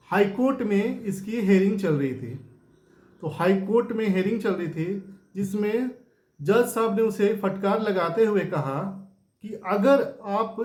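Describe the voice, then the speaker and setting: Male news commentator, high voice, conversational explainer style, echoing room sound. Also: a little mellow. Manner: explanatory, with rhetorical questions and tag phrases. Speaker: YouTube news show host. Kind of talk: informal political news commentary.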